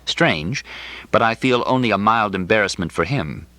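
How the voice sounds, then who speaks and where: A man narrates a literary audiobook in a low, bright voice. His reading is precise and dryly expressive, with lively movement in the pitch. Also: normal speed, no echo. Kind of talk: literary first-person novel narration.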